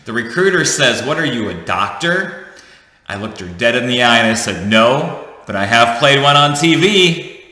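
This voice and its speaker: A man's voice: mid-range voice, animated, moderate pace, bright, forceful tone. A man in his thirties telling a personal story to his viewers in a chatty, jokey way, correcting himself as he goes. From United States. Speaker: vlogger. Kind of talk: casual storytelling to camera. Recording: echoey room with long reverb.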